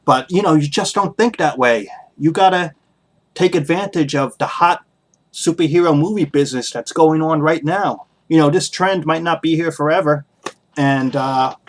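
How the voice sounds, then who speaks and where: A man hosting a solo podcast, giving casual opinion commentary in an emphatic, critical way and repeating his points for emphasis. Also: a little high. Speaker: podcast host.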